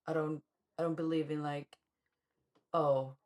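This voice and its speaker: A woman with a notably low, deep voice talks through her path as a DJ and producer. She is from Colombia and speaks in a relaxed, reflective way, restarting her thoughts as she goes. Her delivery is casual and animated. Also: a little slow. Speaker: podcast co-host, DJ and music producer. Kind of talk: casual conversation.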